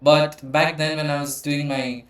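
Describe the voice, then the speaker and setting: A man from India in his thirties, hosting his own channel and walking through slides on computational biology, pausing often with uh. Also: on the high side, little pitch movement. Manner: hesitant, frequent uh fillers. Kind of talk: explaining with slides. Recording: echoey room.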